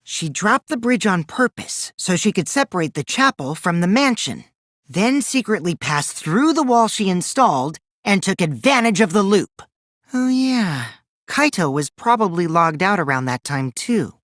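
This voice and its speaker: A man's voice: high-pitched, sing-song, moderate pace, a bit bright, no echo, clean studio sound. An actor playing a mischievous teenage boy in an anime game dub, a gleeful liar who teases and taunts theatrically, shifting between singsong mockery and sly menace. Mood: scheming, explanatory.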